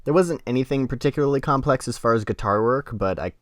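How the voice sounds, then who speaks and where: A man's voice: medium pitch, fast talker, no room sound, studio quality. A man co-hosting a podcast, giving casual, conversational reviews. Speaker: podcast co-host reviewing music. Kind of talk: casual chat, music review.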